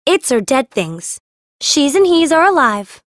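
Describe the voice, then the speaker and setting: An actress playing a stubborn, tender-hearted young student mage in an audiobook, speaking with earnest insistence. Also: female voice, high voice, very animated, unhurried, dry recording, studio quality. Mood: determined. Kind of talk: full-cast audiobook dialogue.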